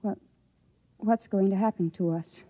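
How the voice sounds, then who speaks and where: A woman's voice: medium pitch, fairly even intonation, unhurried, natural voice. Anguished young woman, acted, muffled old radio. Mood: fearful. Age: young adult. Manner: earnest, emotional, melodramatic. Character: young, anguished, pleading woman in forbidden love. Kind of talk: 1950s radio drama acting. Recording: dull vintage radio recording.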